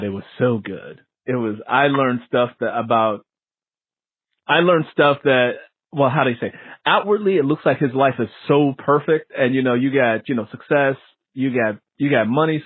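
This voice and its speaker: A man in his fifties hosting a casual interview, animated and jokey, acting out reactions as he talks. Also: mid-range voice, normal speed, husky, dry recording. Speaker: interview host. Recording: muffled narrow phone-like line.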